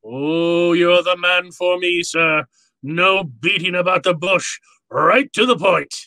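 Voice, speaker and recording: An actor playing a jovial, courtly, calculating fat crime boss in a radio drama, speaking floridly and with relish. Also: male voice, high voice, takes it slowly, pushed and bright, no room sound, heavily acted. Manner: florid, pompous, relishing his words. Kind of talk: old-time radio drama acting.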